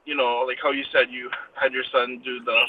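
A man's voice: mid-range voice, moderate pace, phone-quality sound, a crowd in the background. A young man from Hawaii, a language teacher chatting as a guest in an easygoing way, full of fillers. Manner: relaxed, filler-heavy, easygoing. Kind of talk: casual conversation. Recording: distant mic with clear background noise.

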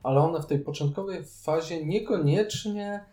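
A man's voice: fairly high pitch, moderate pace, warm tone, roomy sound. A man co-hosting a board game podcast, chatting casually and adding his own comments. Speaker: podcast co-host.

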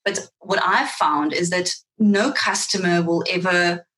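A woman whose first language is Afrikaans, a marketing guest giving thoughtful, animated answers in an interview. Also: on the low side, unhurried, poor audio.

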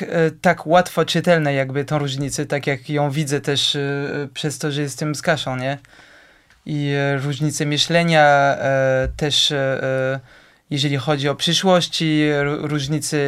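A young man with a French accent, speaking Polish with a smooth, clear voice. He talks in a hesitant, halting way, often pausing with fillers while he searches for words. His voice sits somewhat high for a man. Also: a bit bright. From France. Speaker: interview guest. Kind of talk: casual conversation.

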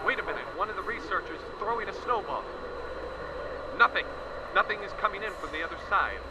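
A seasoned war correspondent, played by a man, reports live, tripping over his words in awe. His voice runs high and lively. It comes through a hissy, distant line in the radio drama. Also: fairly quick. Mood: awed. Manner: stammering, awed and breathless. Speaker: field reporter.

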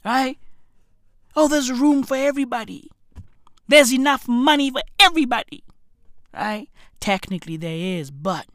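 A comedian from Africa, delivering an emphatic, repetitive rant to camera, heavy with swearing and dropping at times to a whisper. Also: male voice, sits high, fairly bright tone, dry recording, clean studio sound. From South Africa. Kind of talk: ranting monologue to camera.

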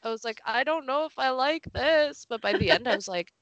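A young woman co-hosting a podcast in casual conversation, with a gravelly morning voice and an informal, chatty way of talking. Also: high-pitched, lively intonation, brisk, fairly bright tone, dry recording, muffled recording. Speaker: podcast co-host. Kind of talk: casual chat.

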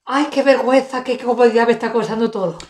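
A woman in her thirties from Spain, co-hosting a podcast in a casual, animated chat full of exclamations and laughter. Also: fairly high pitch, quick pace. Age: 30s. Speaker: podcast co-host. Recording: roomy echo.

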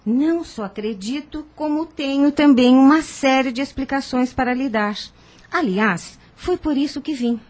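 Husky, motherly older woman. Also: high-pitched, normal speed, a little mellow. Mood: assured. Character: kindly older secretary, motherly, assured, spiritually minded. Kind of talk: radio soap opera acting.

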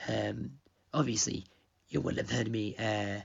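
A man's voice: lowish voice, fairly even intonation, unhurried, vocal fry, slightly rough, lo-fi sound. A young man who owns a radio station, rambling through a pre-recorded intro while losing his voice. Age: young adult. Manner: rambling, digressive.

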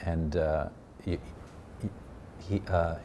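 Man, interviewer, lowish voice, eager, chatty, stumbles over words, faint rumble. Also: steady tone, slow pace, warm tone. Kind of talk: conversational interview.

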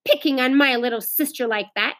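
A woman's voice: high voice, a little fast, fairly bright tone. A woman voicing a bossy, exasperated big sister in a storybook, scolding and correcting. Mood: angry.

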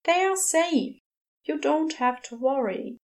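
Storybook part: prim, well-meaning, reassuring gentleman, performed by a woman, high voice, unhurried delivery. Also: animated, full character voice.

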